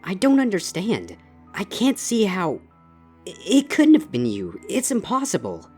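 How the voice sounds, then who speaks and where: A woman's voice: mid-range voice, huge pitch swings, measured pace, dark tone, breathy edge, full character voice. A woman voicing a stern yet concerned schoolteacher pony in a dramatized story reading, questioning and admonishing. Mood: shocked disbelief. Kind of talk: dramatized fan fiction audio reading. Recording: music under the voice.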